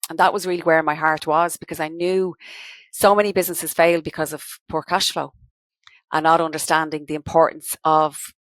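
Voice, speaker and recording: Low-voiced Dublin woman, chatty. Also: level tone, normal speed, fairly bright tone, no room sound. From Dublin, Ireland. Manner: chatty, explains step by step. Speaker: guest, credit management business owner. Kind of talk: podcast interview.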